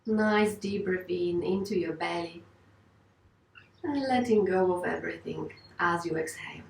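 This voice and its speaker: Female yoga teacher, gentle and reassuring guided relaxation, roomy sound, distant mic. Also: lowish voice, unhurried, vocal fry, a little hiss or hum. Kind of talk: guided meditation instruction.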